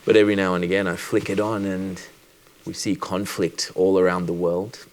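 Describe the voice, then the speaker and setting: A man from Sri Lanka who works as a doctor, giving a personal conference talk in a reflective, measured way with deliberate pauses. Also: sits low, level tone, low-quality recording.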